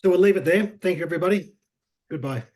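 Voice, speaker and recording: A man's voice: sits high, fast, darkish tone. A man hosting a webinar over a video call, talking loosely with many fillers and repeated words. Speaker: webinar host.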